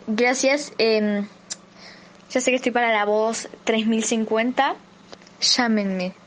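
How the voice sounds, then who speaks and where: A woman with an Argentine accent speaks slowly in a slightly high voice. Her talk is informal, playful and self-deprecating, with faint noise in the background. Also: steady tone. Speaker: radio show host.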